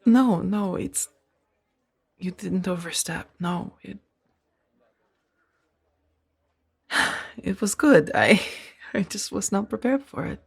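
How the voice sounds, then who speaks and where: A woman playing a gentle, earnest Jedi in a soft-spoken ASMR roleplay, speaking in a hesitant, breathy murmur. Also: mid-range voice, takes it slowly, heavily acted. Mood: surprised, relieved. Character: gentle, earnest young female Jedi, tenderly conflicted.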